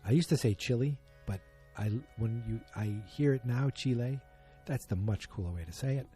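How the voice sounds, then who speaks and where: A man's voice: medium pitch, normal speed, warm tone, soft background music. A man hosting a solo podcast, chatting casually in a rambling, self-deprecating, joking way. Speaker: podcast host.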